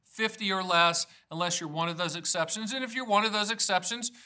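A man's voice: high voice, fairly quick, bright, forceful tone. An American man in his fifties presenting an informal explainer to camera as host, emphatic, folksy and plain-spoken. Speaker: annuity agent hosting an educational video series.

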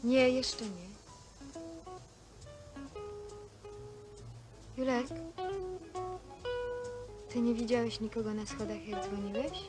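A woman playing a young housemaid in a 1970s film drama. She speaks in a higher voice, chatty and a little wistful, trying on posh airs, with music faintly behind her. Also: fairly even intonation, a little slow, natural voice. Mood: curious. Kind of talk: naturalistic 1970s film acting.

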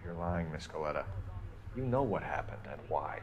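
A man's voice: extremely low pitch, lively intonation, moderate pace, lo-fi sound. An actor playing a stern young policeman in a video game, questioning in a clipped, authoritative way. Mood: stern, accusing. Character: stern, by-the-book young policeman interrogating a witness. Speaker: police officer. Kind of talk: video game dialogue acting. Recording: music behind the voice.

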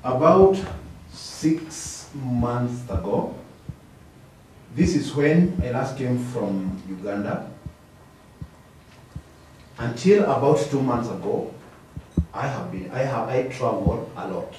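An actor playing a defensive middle-aged accused man testifying in a TV courtroom drama, halting and deliberate, with a Kenyan accent. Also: mid-range voice, lively intonation. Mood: halting, guarded. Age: 50s. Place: indoor courtroom set. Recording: echoey room, distant mic, faint noise.

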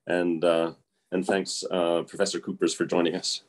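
A man's voice: low voice, flat delivery, moderate pace. An older man, a retired math professor, introducing himself eagerly on a video call with lots of hesitations. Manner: enthusiastic, hesitant, many fillers. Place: indoor room. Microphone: laptop on a video call.

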